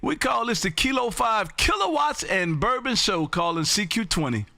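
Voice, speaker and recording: A loud man in his fifties from Texas calls out over the air in a high, bright, drawn-out sing-song. A close studio boom mic gives a dry, tight sound. He hosts a live amateur radio stream from his home radio room. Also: very animated, vocal fry, no echo. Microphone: studio boom mic, headphones.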